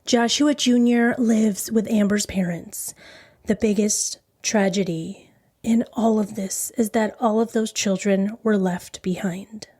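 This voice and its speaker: A woman's voice: mid-range voice, mellow. A woman hosting a true crime podcast, reading scripted narration. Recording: faint music behind the voice. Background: faint music.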